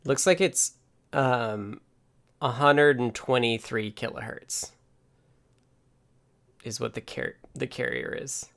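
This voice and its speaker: A man casually talking through live coding and electronics as a stream host, halting as he thinks aloud. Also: mid-range voice, vocal fry, fairly bright tone. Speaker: livestream host and software developer.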